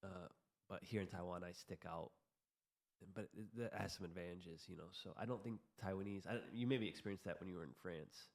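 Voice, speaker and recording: A man's voice: deep voice, brisk, vocal fry. A man chatting casually on a podcast, rambling and opinionated, laughing at himself as he goes. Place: room in Taiwan. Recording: roomy echo.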